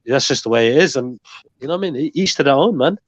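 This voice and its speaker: A man speaking haltingly on a podcast panel, searching for words with many fillers. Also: mid-range voice, animated, breathy edge, no echo, dull audio. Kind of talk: casual debate chat.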